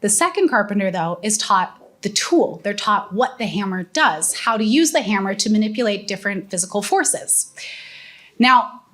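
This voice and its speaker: A woman's voice: mid-range voice, lively intonation, normal speed, a bit bright. Young woman guest on a live panel, confident, projects her voice, handheld mic. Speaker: guest, AI ethicist and author. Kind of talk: panel conversation. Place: hotel event room with audience.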